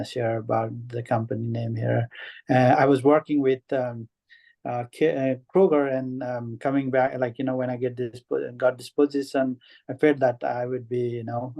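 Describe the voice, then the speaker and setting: A man's voice: medium pitch, dark tone. Hesitant Nepal-born man on a video call. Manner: hesitant, many ums and restarts. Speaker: networking meeting participant. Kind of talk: casual introduction on a video call. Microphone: headphones on a video call.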